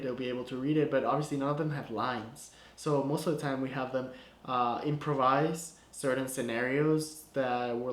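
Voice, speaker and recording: A young man talks through his filmmaking in a loose, chatty way, leaning on "like" and "you know". His voice sits at an ordinary middle pitch for a man. The sound carries a roomy echo. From Guatemala. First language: Spanish. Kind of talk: casual breakdown of his short film. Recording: echoey room.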